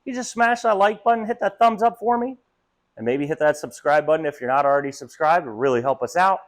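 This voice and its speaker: A man putting on a hyped, pushy voice to ask viewers for likes, fast and over the top. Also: a little high, pitched above the performer's own voice, natural voice. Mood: pleading hype.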